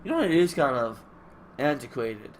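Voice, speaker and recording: A man's voice: medium pitch, very animated, normal speed. A man with a nasal, congested voice giving casual, rambling commentary as he hosts a gaming video, musing aloud. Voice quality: nasal, stuffy nose. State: congested nose. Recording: clear background noise on mic.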